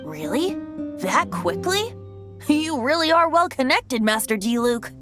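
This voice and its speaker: A high, boyish, theatrical bard. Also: female voice, sing-song, a little slow, music in the background. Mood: playful, explanatory. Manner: theatrical, airy, flowery. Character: youthful boyish male bard, a playful evasive god. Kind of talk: video game voice acting.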